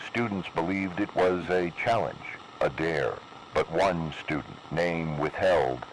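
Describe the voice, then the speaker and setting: A man voicing a cold, menacing emergency broadcast announcer in slow, measured, ominous narration. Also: deep voice. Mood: official. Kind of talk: scripted analog horror narration. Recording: noisy analog video recording.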